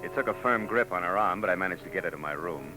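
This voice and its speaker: An actor playing a dry, wisecracking, world-weary private detective in a 1940s radio drama, on an old broadcast recording with music. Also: male voice, sits low, expressive, fast talker, low-quality recording. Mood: wry. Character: wry, world-weary male private eye.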